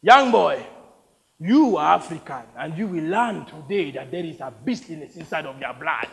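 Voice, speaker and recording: A man's voice: a little high, expressive, moderate pace, creaky. A man playing a savage, gloating African rebel warlord in a stage story, commanding and theatrical, in a reverberant hall. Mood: gloating menace.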